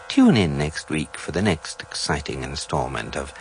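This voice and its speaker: A man's voice: very deep voice, normal speed, a little hiss or hum, exaggerated voice, poor audio. A man playing a dry, erudite guidebook narrator in a radio comedy, with an English accent. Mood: mock dramatic. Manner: deadpan, erudite.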